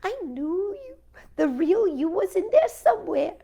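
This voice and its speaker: A woman voicing a plump, sweet old woman healer from a storybook, bright and gently reassuring, drawing out her words. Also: very high voice, big pitch swings, unhurried, gravelly, voice pushed up, heavily acted. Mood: pleased.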